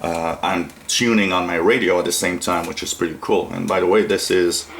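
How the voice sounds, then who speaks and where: Low-pitched man giving a casual tutorial. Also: steady tone, a little fast, creaky, fairly bright tone, slight background noise. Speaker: shortwave radio hobbyist presenting a product. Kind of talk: casual hobby product tutorial. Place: small indoor room at a radio desk.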